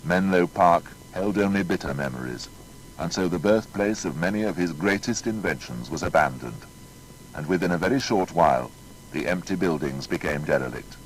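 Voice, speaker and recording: A man's voice: deep voice, lively intonation, normal speed, a little hiss or hum, poor audio. A man narrating a scripted biography with emphatic, rhetorical delivery.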